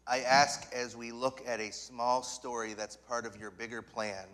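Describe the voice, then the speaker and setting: A man preaching a sermon in short phrases, pausing for an interpreter. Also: mid-range voice, little pitch movement, fairly bright tone. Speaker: guest preacher. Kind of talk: sermon in English with live interpretation. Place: church sanctuary.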